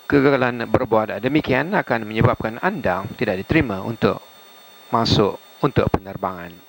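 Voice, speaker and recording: A man with a Malaysian accent reading formal cabin crew announcements in a halting way. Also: fairly low pitch. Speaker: airline cabin crew member. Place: aircraft cabin, over the PA. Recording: faintly noisy aircraft cabin announcement audio.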